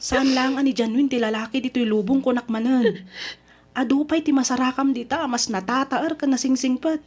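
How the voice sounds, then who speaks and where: Supportive young friend, highish pitch, frank, exasperated, caring, radio drama. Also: female voice, fairly even intonation, moderate pace, darkish tone. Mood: exasperated comforting. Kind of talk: Ilocano radio drama acting.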